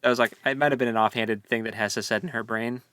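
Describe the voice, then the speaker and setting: A man's voice: medium pitch, steady tone, fast talker, fairly bright tone, dry recording, studio quality. A man co-hosting a casual book discussion, speaking informally with jokey, slangy phrasing. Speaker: podcast co-host.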